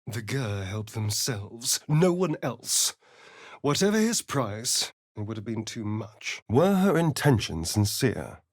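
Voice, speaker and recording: A sly vampire rogue for a fantasy video game. His delivery is flamboyant and unhurried, with wide, lively swings of pitch. He enunciates precisely, with an arch, teasing edge and a slightly husky voice in a very dry recording. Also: no room sound. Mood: guarded, wistful. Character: sly, theatrical male vampire spawn rogue, centuries old. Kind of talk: video game character voice lines and narration.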